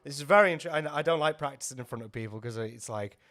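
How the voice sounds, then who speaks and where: A man with a cold explaining his guitar practice in a casual, teaching way, checking in with short tag questions and clearing his throat often. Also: medium pitch, fast talker, fairly bright tone. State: full of a cold, hoarse. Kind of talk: casual teaching while jamming. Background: faint backing music.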